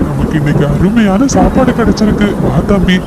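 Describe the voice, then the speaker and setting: A voice actor playing a greedy elder male eagle in a cartoon, with a gloating, bossy big-brother manner, recorded over loud background noise. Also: mid-range voice, lively intonation, fairly quick, lightly acted. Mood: gleeful. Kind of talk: cartoon voice acting.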